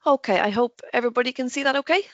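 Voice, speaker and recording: A woman in her forties with an Irish accent, her first language Irish, giving a webinar lecture in a quick, chatty way with many ums. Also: high-pitched, no room sound, highs cut off. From Ireland. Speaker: guest lecturer, nurse educator.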